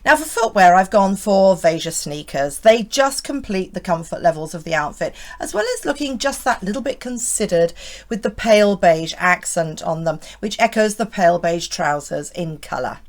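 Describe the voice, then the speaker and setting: Hoarse woman chatting about style. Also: fairly low pitch, expressive, normal speed. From United Kingdom. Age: 50s. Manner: chatty, reassuring, often asks the viewer "isn't it". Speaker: style vlogger. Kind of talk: friendly scripted fashion vlog.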